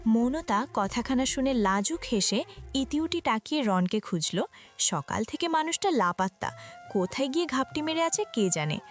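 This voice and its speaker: Woman, story narrator, fairly high voice, scripted romantic narration, light music behind. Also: sing-song, moderate pace.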